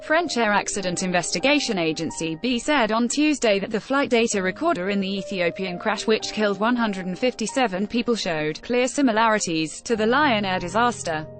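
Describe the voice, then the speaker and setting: Female news narrator for scripted article read, medium pitch, background music. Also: animated, a little fast, few pauses.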